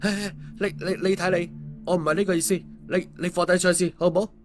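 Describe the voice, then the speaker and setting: Man acting a high-pitched, excitable cowardly guy. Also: moderate pace, music in the background, natural voice. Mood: frightened pleading. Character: excitable, cowardly, wheedling man who protests loudly. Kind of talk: audiobook character dialogue.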